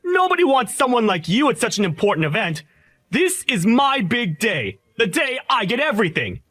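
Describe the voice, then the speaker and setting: A man voicing an arrogant, contemptuous young male boss who yells insults in a shrill, sneering voice, recorded dry and close for a voiced story. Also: huge pitch swings, moderate pace, no room sound, full character voice. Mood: gloating rage. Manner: yelling insults.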